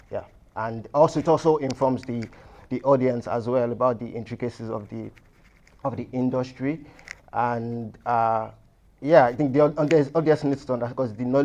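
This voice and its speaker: A man's voice: medium pitch, a little mellow, a little hiss or hum. A man, a film critic speaking on a panel, earnest and repeating himself as he restarts phrases. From Nigeria. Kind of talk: panel discussion. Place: cinema hall.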